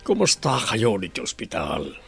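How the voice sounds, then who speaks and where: A man acting a gravelly, animated old grandfather. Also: on the low side, moderate pace, soft background music, full character voice. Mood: concerned. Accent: Ilocano. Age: older. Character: caring, exasperated grandfather of a sick young man. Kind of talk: Ilocano comedy radio drama acting.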